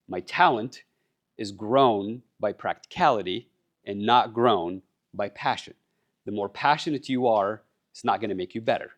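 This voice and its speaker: Guy giving a conference talk, lowish voice, earnest, keeps asking the crowd stuff. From Egypt. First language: Arabic. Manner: earnest, addresses the crowd with questions. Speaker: conference speaker. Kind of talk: motivational talk to a live audience. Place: conference stage.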